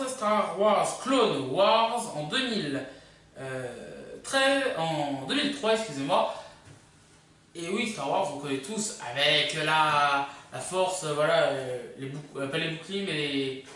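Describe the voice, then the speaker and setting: A video host giving a casual, unscripted countdown in a hesitant, halting way, often correcting themselves. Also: medium pitch, a bit bright. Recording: very echoey room, far from mic.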